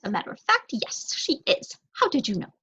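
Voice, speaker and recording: A woman voicing a young, eager, helpful male crow who is polite, chatty and not very bright, in a storybook reading. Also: a little high, huge pitch swings, brisk, slightly rough, highs cut off, heavily acted.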